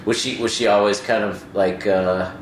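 A man hosting a casual conversation, asking relaxed, curious, halting questions. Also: fairly low pitch, background noise. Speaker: host. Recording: roomy, faint ambience.

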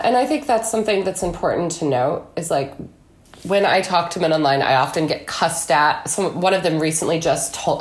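A woman speaking as an interview guest in a conversational political discussion, with an emphatic, anecdotal way of talking. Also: low-pitched. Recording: echoey room.

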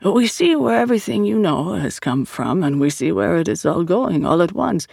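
An audiobook narrator voicing a serene male acolyte who speaks haltingly and philosophically, searching for words. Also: mid-range voice, sing-song, vocal fry, a little mellow, husky, dry recording, clean studio sound, heavily acted. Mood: serene, earnest. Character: serene, hesitant otherworldly religious acolyte, a male. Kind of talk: audiobook character dialogue.

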